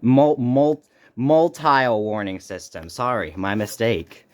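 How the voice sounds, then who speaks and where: A man hosting a casual, unscripted unboxing video, speaking haltingly and muttering to himself as he goes. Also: medium pitch. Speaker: video host doing an unboxing.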